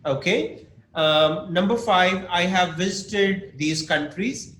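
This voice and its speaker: A man leads an online English class at an unhurried pace, patiently walking through examples and asking his students questions. His voice sits a little high, and the recording carries an echo with faint background noise. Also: fairly bright tone. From Pakistan. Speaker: English teacher. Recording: echoey room with faint noise.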